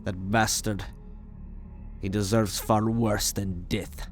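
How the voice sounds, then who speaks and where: A man voicing a shady green alien collector, gruff, bitter and quick to take offence, in an ASMR roleplay drama. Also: lowish voice, steady tone, faint music behind. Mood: gruff, grudging. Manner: gruff, indignant, haggling.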